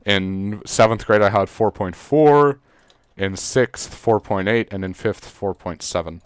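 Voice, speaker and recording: A teenage boy from Serbia, speaking English as a second language, talks loosely on a podcast. His voice is lowish and smooth, full of fillers, false starts and casual swearing. The sound is dry and close. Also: unhurried, no room sound. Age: teens. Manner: rambling, many fillers and restarts, swears casually. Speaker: podcast co-host. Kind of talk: casual chat.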